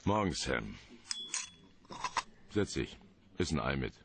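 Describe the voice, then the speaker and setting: A man acting a gruff but chummy hotel detective in a German radio play, with a gravelly voice and laid-back manner. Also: extremely low pitch, big pitch swings, takes it slowly, fairly bright tone, full character voice.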